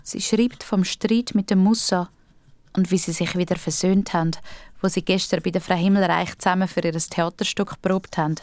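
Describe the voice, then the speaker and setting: A woman's voice: on the low side, steady tone, normal speed, darkish tone, no echo. A woman with a Swiss German accent reads scripted narration for a children's audio drama.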